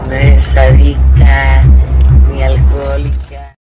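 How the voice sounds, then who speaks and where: Woman, slow delivery, blunt, sweary personal testimony, loud music. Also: very deep voice, monotone. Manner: blunt, foul-mouthed.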